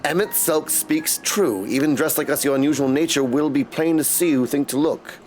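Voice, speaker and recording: A man acts out a formal male figure from a fantasy video game. The delivery is measured and stately, and music plays faintly behind it. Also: a little high, a little mellow, pitched below the performer's own voice, natural voice. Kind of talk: reading video game dialogue aloud.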